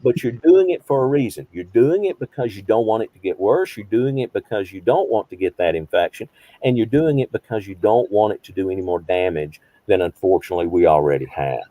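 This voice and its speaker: A man's voice: on the low side, animated, brisk, warm tone, no echo, low-quality recording. A man in his fifties, a behavioral health counselor, teaching a small group conversationally, posing rhetorical questions and repeating phrases for emphasis. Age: 50s.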